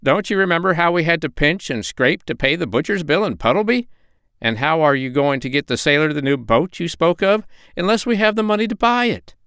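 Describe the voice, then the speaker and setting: A man's voice: a little high, expressive, brisk, a bit bright, clean tone, dry recording, clean studio sound, voice pushed up. A man voicing a bossy, practical female duck in a storybook, nagging and exclamatory. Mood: scolding.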